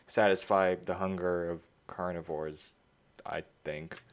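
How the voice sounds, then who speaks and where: A man's voice: deep voice, fairly even intonation, slow pace, smooth. A man talking casually as a panelist in a group podcast chat.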